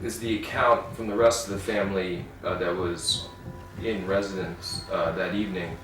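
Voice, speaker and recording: A male actor talking slowly and deliberately about his role in a making-of interview. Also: deep voice, steady tone, a bit bright, faint music behind. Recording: echoey room, distant mic, noise.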